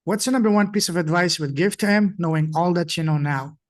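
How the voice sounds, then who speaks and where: A man's voice: on the high side, fast. A man hosting an interview podcast, talking in an encouraging, motivational way. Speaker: podcast host.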